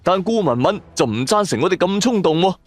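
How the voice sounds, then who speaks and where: A man tells a dramatic supernatural tale in colloquial Cantonese-accented speech. His pitch swings widely as he narrates, and soft music plays behind him. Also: brisk, no echo. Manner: animated, colloquial storytelling. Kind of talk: dramatic first-person supernatural story narration. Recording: music bed under the voice. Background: background music.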